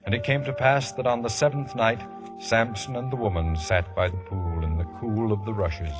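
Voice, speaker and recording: Actor as solemn biblical narrator, 1950s radio drama, clear music bed. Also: male voice, lowish voice, steady tone, moderate pace, lightly acted. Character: solemn biblical storyteller narrating an old tale. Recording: vintage radio broadcast with music underneath.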